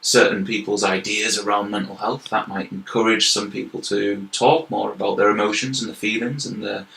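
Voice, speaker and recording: A man's voice: on the low side, level tone, normal speed, vocal fry, a bit bright. A young man with a Yorkshire accent, from York, talking earnestly as an interview guest and explaining his work at length, often repeating words as he goes. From York, England. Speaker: guest, health and wellbeing manager. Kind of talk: casual interview chat. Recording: roomy echoey recording.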